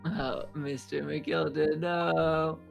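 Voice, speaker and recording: A man's voice: on the high side, little pitch movement, extremely slow, gravelly, faint music behind, full character voice. A man playing a polite, earnest young assistant in an amateur game fan dub, speaking formally. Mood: concerned.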